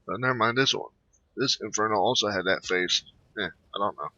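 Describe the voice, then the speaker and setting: A man giving casual, off-the-cuff gaming commentary in a halting, offhand, mumbly way. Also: lowish voice, pushed and bright, no echo, muffled recording. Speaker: gameplay commentator.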